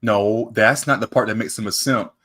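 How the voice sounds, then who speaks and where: A man's voice: lowish voice, fairly even intonation, normal speed, a bit bright. A man co-hosting a reaction show, reacting with teasing disbelief. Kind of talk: casual reaction commentary.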